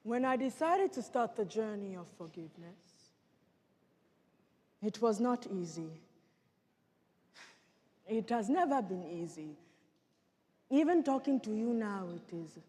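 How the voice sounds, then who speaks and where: A woman performing a dramatic monologue as an earnest Rwandan genocide survivor, telling childhood memories with deliberate theatrical pauses. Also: medium pitch, very animated, a little mellow, slightly rough, exaggerated voice. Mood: pained, halting. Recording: echoey hall with long reverb.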